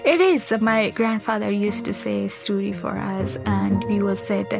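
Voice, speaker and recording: A young woman from Afghanistan telling her own life story in an earnest, halting way, with frequent fillers. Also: mid-range voice, creaky, music in the background. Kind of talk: personal storytelling.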